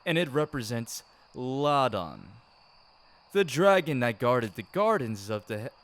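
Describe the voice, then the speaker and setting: A man's voice: medium pitch, sing-song, a little slow, a bit bright. A man performing an ASMR romantic roleplay as a tender, teasing boyfriend, speaking affectionately to his partner. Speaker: amateur audio roleplay performer. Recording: clearly noisy, ambience behind voice. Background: ambient background sound.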